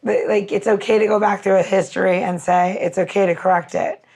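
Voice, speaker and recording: A woman with a gravelly creak in her medium-pitched voice co-hosts a podcast. She talks casually and effusively, rambling informally as she goes. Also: steady tone, a little fast, a little mellow. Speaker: podcast co-host. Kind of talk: casual chat, interview.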